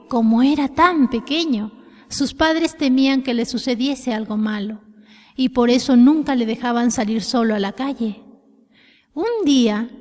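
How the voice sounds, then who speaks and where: A woman's voice: high voice, darkish tone. A woman telling a children's story expressively from a script, addressing young listeners directly. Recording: long reverberant echo.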